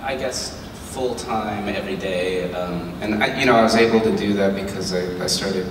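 A man's voice: lowish voice, moderate pace, background noise. A man answering hesitantly with many fillers as a panel guest on a convention stage. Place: large convention hall stage. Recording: echoey hall heard from far off.

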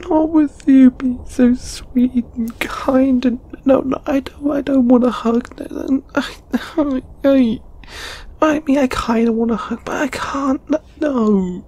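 A man playing an anxious, apologetic, lovestruck lamia flatmate in an audio roleplay, stammering and flustered. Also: a little high, exaggerated voice. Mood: flustered, pleading. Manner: stammering, halting, flustered, breathy sighs. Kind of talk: intimate audio roleplay acting.

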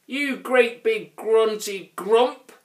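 Man doing a high-voiced, cheeky little troll. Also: extremely slow, roomy sound. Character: small, cheeky, scruffy male troll neighbour.

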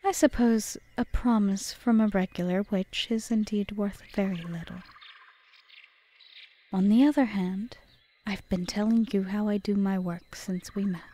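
A woman playing a cunning, possessive, seductive witch in an audio roleplay, speaking in a formal, measured, softly whispery way. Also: medium pitch, steady tone, lightly acted. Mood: calm, solemn. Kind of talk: ASMR audio roleplay, scripted monologue.

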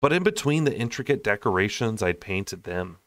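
Low-voiced young man reading a story. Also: big pitch swings, normal speed, a bit bright, dry recording. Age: young adult. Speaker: storyteller reading a novel aloud. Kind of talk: expressive audiobook-style narration.

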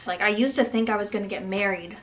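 A woman in her twenties talking to camera in her bedroom, rambling warmly with lots of "like" fillers and little laughs. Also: medium pitch, fast, a little hiss or hum. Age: 20s. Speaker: vlogger. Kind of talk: casual reflective talk to camera. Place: bedroom, indoors.

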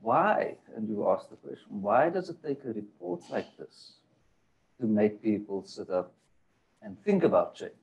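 A man moderates a panel, his pitch rising and falling in a lively way as he builds up long questions. He hesitates often and circles back as he searches for his words. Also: a little slow, vocal fry, muffled recording.

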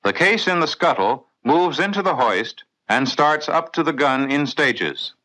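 A man with an American accent reading scripted mid-century instructional film narration for the navy in a clear, methodical, authoritative way. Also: a little high, expressive, measured pace, pushed and bright, poor audio. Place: voice-over recorded in a studio.

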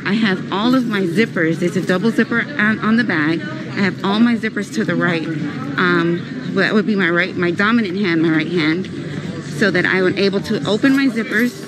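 A woman in her thirties filming a vlog with a handheld camera, explaining slowly and deliberately as she walks through a shopping mall. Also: medium pitch, background noise. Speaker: vlogger. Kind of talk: vlog walkthrough. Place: car, then large indoor shopping mall. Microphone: handheld camera at arm's length.

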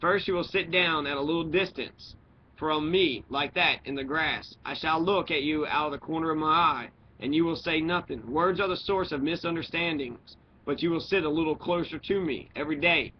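A man voicing a lonely, philosophical talking fox from a storybook, speaking in earnest, deliberate dialogue. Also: fairly high pitch, voice pushed up, natural voice. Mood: earnest, pleading. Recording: dull lo-fi recording with noise.

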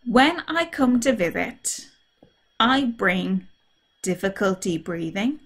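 A woman's voice: mid-range voice, sing-song, takes it slowly, slightly rough, full character voice. A woman voicing a cheerful, friendly cartoon virus that explains itself to children in a theatrical, emphatic way. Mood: explaining brightly.

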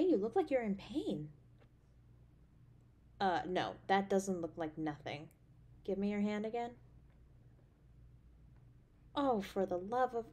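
An affectionate girlfriend on a date at home, acted by a woman for an intimate audio drama. Her mid-pitched voice is highly animated, swinging freely up and down. She comes across as playful, caring and openly expressive. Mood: worried, scolding. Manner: gushing, tender, expressive. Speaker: voice actor.

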